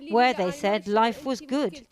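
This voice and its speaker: A woman's voice: fairly high pitch, slow, a crowd in the background. A woman reading scripted news narration as a reporter.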